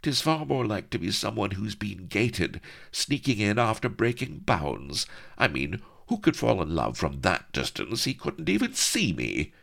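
A man voicing a prissy, archaic-speaking young English noblewoman in a high, affected falsetto. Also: big pitch swings, measured pace, gravelly, a bit bright, exaggerated voice. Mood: scornful. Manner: high falsetto, affected old-fashioned speech.

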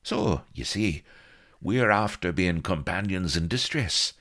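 A man's voice: deep voice, animated, takes it slowly, fairly bright tone, voice pushed up, heavily acted. A man voicing a brash, roguish male stowaway. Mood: wheedling.

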